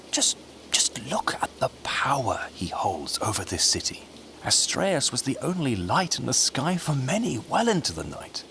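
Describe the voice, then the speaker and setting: An actor playing a scheming, grandiose conspirator in a scripted audio drama, speaking in silky speeches. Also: male voice, sits low, pushed and bright, slight background noise, exaggerated voice. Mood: smug, eager. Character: scheming, theatrical male political conspirator relishing intrigue.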